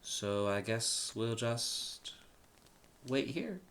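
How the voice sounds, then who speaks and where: A man's voice: mid-range voice, expressive, extremely slow, fairly bright tone, full character voice. A man voicing a humble but outspoken male slave in a humorous storybook, earnest and wry. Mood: wry, resigned.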